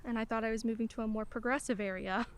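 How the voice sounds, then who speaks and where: A woman's voice: fairly high pitch, steady tone, fast, close to an everyday voice. A woman acting in a radio play as a weary, wry single mother of a teenager, dry and guarded but polite.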